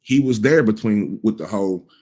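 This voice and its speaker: A man's voice: lowish voice, expressive, normal speed, warm tone. A man in his thirties giving casual cultural commentary, blunt and profane, rambling with frequent restarts. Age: 30s.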